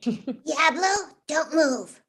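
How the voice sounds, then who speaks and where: A tiny female cartoon rodent with an extremely high, piercingly bright squeak. The creature is gleefully amoral and ruthless beneath the small voice. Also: extremely slow, heavily acted. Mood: calmly menacing. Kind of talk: table read of animated comedy script.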